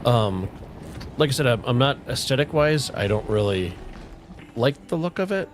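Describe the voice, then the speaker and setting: A middle-aged man chatting casually with viewers on a game livestream, relaxed and rambling, with a soothing voice. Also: mid-range voice, normal speed, noisy background. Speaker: streamer. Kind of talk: casual livestream chat while gaming. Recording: faint music playing underneath.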